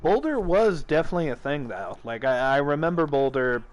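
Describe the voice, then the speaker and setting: A man's voice: on the high side, big pitch swings, brisk. A man hosting a casual nerdy podcast chat, rambling, swearing and laughing often. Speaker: streamer and podcast host.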